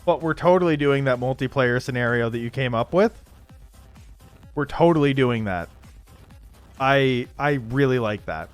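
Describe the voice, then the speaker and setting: A man hosting a casual game livestream, thinking aloud haltingly and improvising story prompts as he goes. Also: mid-range voice, animated, faint music behind. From Canada. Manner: halting, thinking aloud, frequent throat clearing. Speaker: livestream host.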